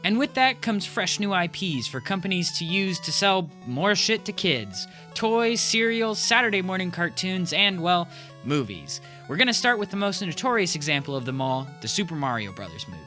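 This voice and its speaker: A narrator telling a comedic video essay with wry, animated asides. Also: mid-range voice, normal speed, faint music behind.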